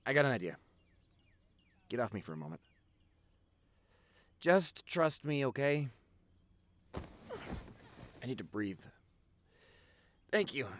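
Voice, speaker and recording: A man's voice: medium pitch, dry recording, natural voice. A man playing a doting, teasing young boyfriend in an intimate audio roleplay, rambling affectionately and stammering a little. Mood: gentle, teasing.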